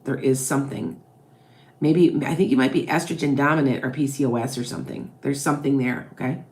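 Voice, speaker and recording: Low-voiced Midwestern woman psychic. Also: steady tone, fairly quick, a little mellow. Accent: Wisconsin and Chicago blend. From American Midwest. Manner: chatty, ends points with "okay? all right?". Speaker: psychic tarot reader. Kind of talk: live-stream reading answering viewers.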